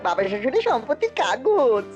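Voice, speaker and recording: A woman's voice: on the high side, big pitch swings, brisk, over music. A woman playing a scheming young son-in-law in a cartoon, wheedling and theatrical. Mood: sly, eager. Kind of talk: cartoon dialogue. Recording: dull, band-limited sound.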